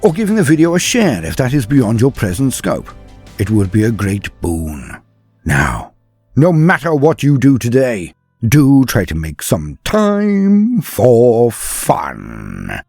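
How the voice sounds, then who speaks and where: A man's voice: mid-range voice, huge pitch swings, slow pace, a little mellow, slightly rough, dry recording, clean studio sound, soft background music. A British man hosting a hobby channel, narrating and commenting in a theatrical manner with florid, old-fashioned turns of phrase. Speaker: YouTube host of a tabletop wargame lore channel. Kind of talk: dramatic lore narration and opinion commentary.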